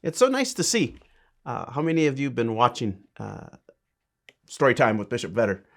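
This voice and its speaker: A man's voice: medium pitch, very animated, normal speed, gravelly, a bit bright. A man, a bishop, reading a children's storybook and chatting to kids with simple, encouraging asides.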